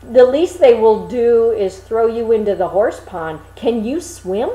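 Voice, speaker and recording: A sly storybook countryman, acted by a woman, friendly on the surface and folksy. The old-fashioned speech is deliberate and strongly inflected, with a roomy echo around it. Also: on the high side, lively intonation, mellow. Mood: grave warning. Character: crafty countryman, grave, mock-friendly, warning trader.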